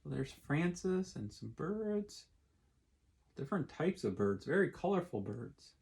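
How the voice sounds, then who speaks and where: A man, a pastor, reading and talking to children in an expressive storytime style. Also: on the high side, unhurried, vocal fry, husky. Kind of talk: expressive storybook read-aloud with casual asides.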